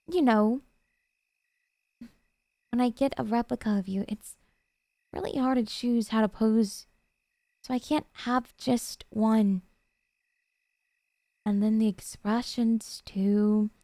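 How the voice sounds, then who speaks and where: A woman playing a doting, jealous yandere girlfriend in an ASMR roleplay, coaxing and sweetly earnest with hesitant pauses. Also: a little high, fairly even intonation, dark tone, no room sound, clean studio sound. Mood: pleading, dreamy.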